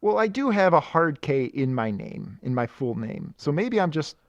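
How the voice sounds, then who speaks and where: A man's voice: a little high, sing-song, normal speed, dry recording, muffled recording. A man from Michigan co-hosting a casual comic-book podcast, rambling and joking as he recaps. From Michigan, USA. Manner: rambling, self-correcting, joking. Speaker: podcast co-host.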